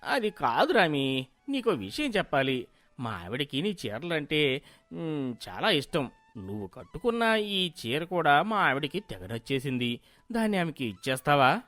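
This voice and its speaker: A woman's voice: deep voice, sing-song, a little slow, fairly bright tone, no echo, voice pushed down, full character voice. A woman voicing a weary, placating village husband who coaxes with mild exasperation in a storybook tale. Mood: coaxing. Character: weary, placating rich husband, middle-class village master.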